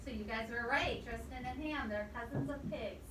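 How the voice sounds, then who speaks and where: A woman reading a storybook aloud to young children like a teacher, expressively, pausing to ask them questions. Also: on the high side. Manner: expressive, pausing to quiz the listeners. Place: indoor room with children present. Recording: echoey, distant microphone, noisy room.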